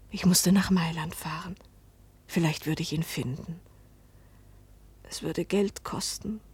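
A woman's voice: lowish voice, a little mellow, husky, dry recording, full character voice. An actress playing a middle-aged mother who tells her life story in a restrained, wistful, intimate way in a radio drama. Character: weary, hopeful Italian mother narrating her life's disappointments. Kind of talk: radio drama first-person narration and dialogue.

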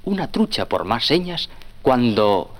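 Animated male children's story narrator. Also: mid-range voice, unhurried, loud music behind the voice.

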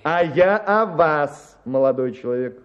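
A high-pitched, excitable, pompous would-be writer. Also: male voice, measured pace, lo-fi sound. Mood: pompous lecturing. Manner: pompous, emphatic, declamatory. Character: excitable, self-assured would-be writer lecturing on marriage. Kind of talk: theatrical comic story performance.

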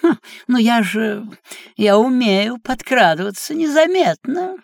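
A woman voicing a sly, kindly learned male cat in a children's audio play, with a theatrical, playful, drawn-out delivery. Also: on the high side, animated, creaky, dry recording, studio quality.

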